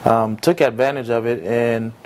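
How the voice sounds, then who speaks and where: A young man born in Haiti and raised in America, answering interview questions in an earnest, reflective way with frequent pauses and fillers. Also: mid-range voice, fairly even intonation, a little mellow. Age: young adult. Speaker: entrepreneur interviewee.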